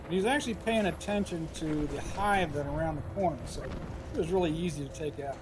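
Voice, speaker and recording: A man giving casual commentary over a game walkthrough, his voice a little hoarse and scratchy, thinking aloud with frequent filler pauses. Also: fairly high pitch. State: slightly hoarse, scratchy throat. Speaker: gameplay commentator. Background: game music and gunfire.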